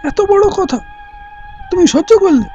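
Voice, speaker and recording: A man's voice: extremely high pitch, animated, normal speed, mellow. An actor playing a cautious, doubting townsman who questions and cautions in an audio play. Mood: questioning, concerned. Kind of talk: Bengali audio play acting. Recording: dull lo-fi audio play, faint music.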